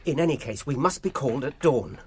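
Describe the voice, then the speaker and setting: A British actor playing an earnest, wary hobbit gentleman in a fantasy radio drama, well-spoken, polite and hesitant. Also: mid-range voice, expressive, fairly quick, slight background noise, lightly acted. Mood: uneasy, earnest.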